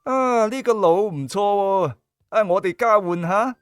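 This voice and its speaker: An actor playing a brash, rough-mannered male debt collector with a casual, drawn-out delivery in an audio drama. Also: sits high, no echo, soft background music. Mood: approving. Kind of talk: audio drama character acting.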